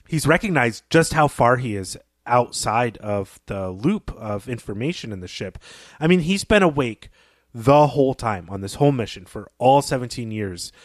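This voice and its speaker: A man talks as a podcast co-host in a literary discussion. His voice sits at an average pitch and he phrases his analysis thoughtfully and articulately. The sound is dry, with hardly any room on it. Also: no room sound.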